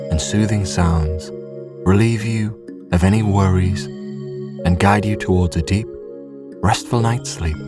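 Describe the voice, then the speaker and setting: A man narrating a scripted sleep story in a soothing, measured, hushed bedtime manner. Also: low voice.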